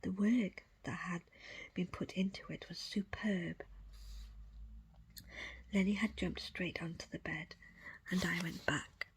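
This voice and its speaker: A woman reads a first-person story aloud in a hushed, unvoiced ASMR whisper, measured and breathy. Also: on the low side, fairly even intonation, slight background noise. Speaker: audiobook reader.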